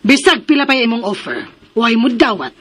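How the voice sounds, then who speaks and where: High-pitched, feisty scolding mother. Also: very animated, moderate pace, fairly bright tone, husky, heavily acted. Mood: indignant. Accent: Filipino, Cebuano. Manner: indignant, exclamatory, sing-song scolding. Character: feisty, scolding, protective mother defending her home. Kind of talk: Philippine radio drama acting.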